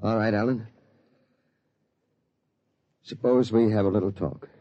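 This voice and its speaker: A man's voice: on the low side, fairly even intonation, a little slow. An actor playing a worried middle-aged lawyer and father in a 1940s radio drama, stammering and flustered. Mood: stern. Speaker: father of the boy. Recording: lo-fi old radio recording.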